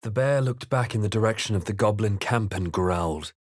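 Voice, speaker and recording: Male actor as grave fantasy adventurer, lowish pitch, dry studio sound, measured theatrical narration, video game. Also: no echo, natural voice. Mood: solemn, reflective. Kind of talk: scripted game narration and character lines.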